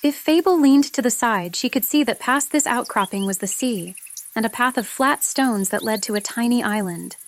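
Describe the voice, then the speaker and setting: A woman's voice: fairly high pitch, moderate pace. A woman narrating a fantasy story from a script, as an audiobook reader. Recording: noticeable background noise with clicks, insect-like sounds.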